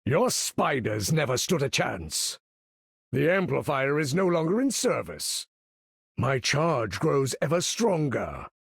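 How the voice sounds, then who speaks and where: Game role: imperious older mutant leader, slow, gravelly, grandiose and formal. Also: male voice, medium pitch, big pitch swings, no echo, clean studio sound, exaggerated voice. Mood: disdainful, proud. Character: proud, imperious older male mutant master of magnetism. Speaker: video game voice actor. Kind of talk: theatrical game character voice lines.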